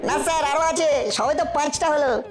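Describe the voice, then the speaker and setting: A woman's voice: high-pitched, normal speed, faint music behind, close to an everyday voice. A woman voicing a cheeky, stubborn ten-year-old boy in a children's cartoon, with a defiant manner. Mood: mischievous, teasing. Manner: defiant, reels off puns in set patterns.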